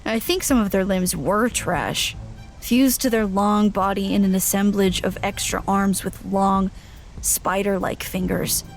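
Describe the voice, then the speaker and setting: A woman's voice: medium pitch, gravelly, faint music behind. A woman playing a small, wary, practical human traveler, narrating and speaking in an audio drama. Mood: wary narration. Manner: hesitant, questioning in dialogue, measured when narrating. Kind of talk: audio drama first-person narration and dialogue.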